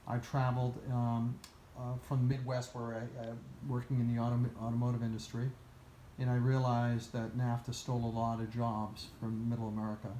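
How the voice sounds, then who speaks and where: A man from Portugal talking casually in a conversation, giving his views at a measured pace and pausing often with "uh". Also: mid-range voice, completely flat delivery, warm tone, echoey room, a little hiss or hum, rough recording. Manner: measured, lists places and reasons, with many "uh" pauses. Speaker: interviewee.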